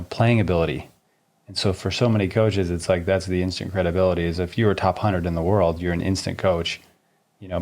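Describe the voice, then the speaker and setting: Low-voiced man in laid-back podcast chat. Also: darkish tone. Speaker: tennis coach, podcast co-host.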